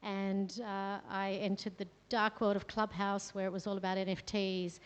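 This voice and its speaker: A woman's voice: medium pitch, flat delivery, moderate pace, smooth, lo-fi sound. A woman from Australia with an Australian accent, telling a chatty, story-led talk to a meetup audience with many fillers. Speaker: guest speaker. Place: event room with a live audience. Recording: long reverb in a venue hall.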